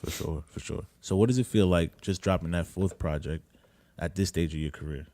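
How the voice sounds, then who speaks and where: A man from New York hosting a podcast, asking questions in quick, easygoing casual chat. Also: deep voice, mellow, no room sound. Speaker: podcast host. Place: living room podcast set, indoors. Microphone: boom studio mic.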